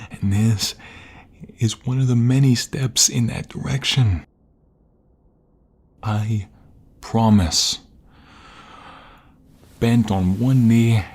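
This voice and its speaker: A very husky, breathy husband played by a man in an intimate ASMR roleplay, with a gravelly creak and an unhurried pace. He is tender and excitable, halting and repeating himself as emotion takes over. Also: fairly low pitch, full character voice. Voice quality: breathy, husky, often dropping to whispers. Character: tender, excitable husband overjoyed at impending fatherhood.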